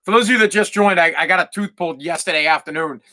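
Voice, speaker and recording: A man's voice: on the high side, quick pace, sharp tone. A male podcast host delivering a profane, fired-up rant with lots of repetition. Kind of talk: ranting monologue.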